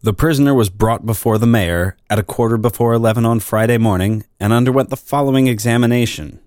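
A man's voice: medium pitch, fast, a little mellow, no room sound. A man narrating a historical crime account as a scripted dramatic reading.

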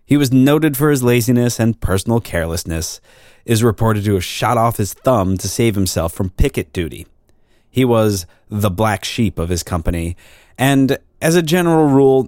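A man's voice: mid-range voice, expressive, normal speed, darkish tone, no echo, clean studio sound. A man narrating a historical crime account as a scripted dramatic reading.

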